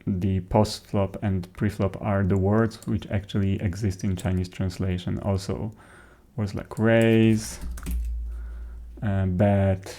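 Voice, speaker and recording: Male software presenter, native Polish speaker with Polish accent, lowish voice, halting informal demo delivery. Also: flat delivery. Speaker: software developer presenting a tutorial.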